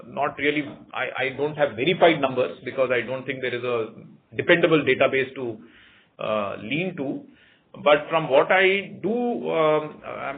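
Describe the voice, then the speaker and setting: Male executive, Indian accent, mid-pitched, careful and halting formal answers over narrow phone audio with faint noise. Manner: hesitant, measured, formal. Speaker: company executive. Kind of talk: answering analyst questions on an earnings call. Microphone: conference call line.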